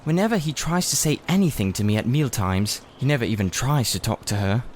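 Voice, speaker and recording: A man's voice: medium pitch, lively intonation, slight background noise, natural voice. A man voicing a miserable, blunt young boy in a storybook, blurting out his words in a rush. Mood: secretive, wary. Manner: breathless, rushed, blurting complaints.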